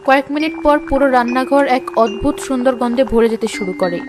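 A woman's voice: high-pitched, brisk, music in the background. A woman reading scripted narration for a cartoon story.

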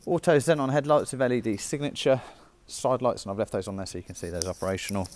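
A man's voice: mid-range voice, quick pace, darkish tone, a little hiss or hum. A British man giving a chatty, informal walkaround sales presentation as a car dealer. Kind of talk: walkaround sales presentation, semi-casual commentary. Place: indoor car showroom.

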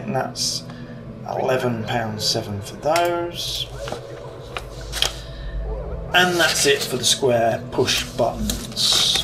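Unhurried British man thinking aloud. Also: mid-range voice, background noise. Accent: British English. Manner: relaxed, thinks aloud while shopping, frequent ums. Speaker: hobbyist YouTuber. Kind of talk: casual build vlog walkthrough. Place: living room at home. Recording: clear background music under voice. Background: background music.

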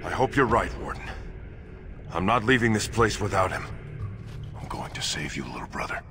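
An actor playing an anguished, protective dwarf warrior in a fantasy video game, earnest and emphatic. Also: male voice, fairly low pitch, animated, normal speed, over music. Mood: anguished, worried.

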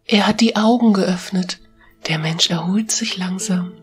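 A deep-voiced alpha female creature of a fantasy forest, played by a woman. She is noble and sure of herself, instructing calmly and with gentle warning. Music plays clearly underneath. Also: a little slow, close to an everyday voice. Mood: calm, wise. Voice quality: deep, feminine. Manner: calm, wise, gently admonishing. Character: noble wise alpha female talking forest beast, self-assured. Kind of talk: German fantasy audio drama.

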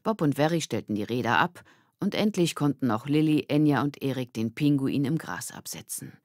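Children's audiobook narrator, medium pitch, scripted storytelling, dry close recording. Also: big pitch swings, moderate pace, no room sound.

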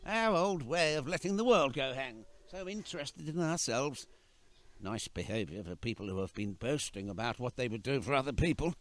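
A man acts out a kindly struggling painter and father in a radio play. His middling voice moves through very animated intonation. He is whimsical and gently admonishing. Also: medium pitch, normal speed, close to an everyday voice. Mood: tender, troubled.

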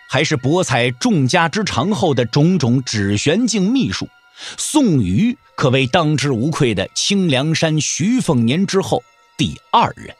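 Lively male narrator, fairly high voice. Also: no echo. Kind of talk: dramatic scripted audiobook narration.

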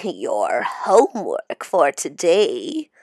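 A woman voicing a menacing, gleefully cruel female monster teacher from a storybook, with a cackling, drawn-out sinister delivery. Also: sits low, huge pitch swings, a little mellow, dry recording, clean studio sound, full character voice. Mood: sinister glee.

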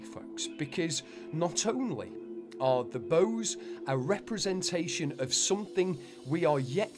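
A man reading a scripted explainer narration, with folksy, wonder-filled asides to listeners. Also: on the high side. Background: background music.

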